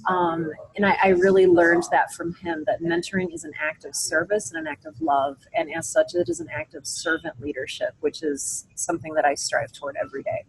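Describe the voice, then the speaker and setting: Lower-voiced woman giving earnest interview answers. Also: very animated, brisk, vocal fry, few pauses, slight background noise, poor audio. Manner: emphatic, motivational, repeats phrases for emphasis. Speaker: interview guest, podcaster and mentor.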